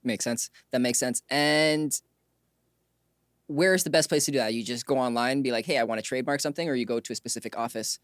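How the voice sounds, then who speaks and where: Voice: medium pitch, fast, no echo, studio quality. A podcast host chatting casually in an interview, asking questions in an agreeable, inquisitive way.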